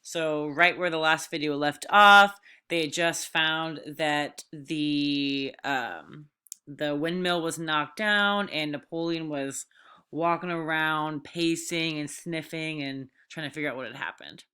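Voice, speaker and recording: Bright-voiced woman teacher addressing students. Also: low voice, slow pace, clean tone. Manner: informal, addresses students directly. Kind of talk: reading aloud and explaining an assignment to students.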